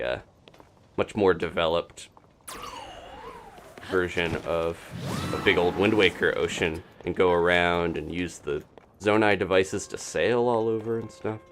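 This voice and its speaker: A man streaming a video game, giving casual live commentary and chatting as he thinks out loud. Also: low voice, slight background noise.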